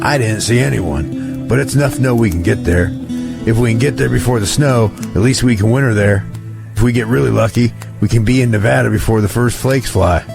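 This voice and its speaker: Music plays behind a rugged scout played by a man in an audio drama. His voice is low with a gravelly fry, and he tells things steadily and plainly.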